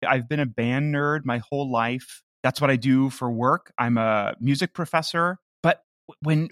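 A man's voice: medium pitch, fairly bright tone, dry recording, studio quality. A man who is a podcast creator, chatting in a casual, self-deprecating way as an interview guest.

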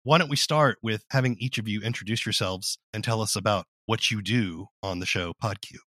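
A man's voice: on the low side, fairly quick, fairly bright tone, dry recording, studio quality. A man working as interviewer and narrator, reading a scripted radio feature.

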